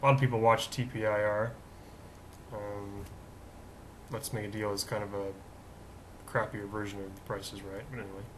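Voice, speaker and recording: Chatty deep-voiced man hosting a vlog. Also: level tone, moderate pace, pauses often, fairly bright tone, slight background noise, low-quality recording. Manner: chatty, self-mocking, thinks aloud with many fillers. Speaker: vlog host. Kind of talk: casual rambling vlog monologue.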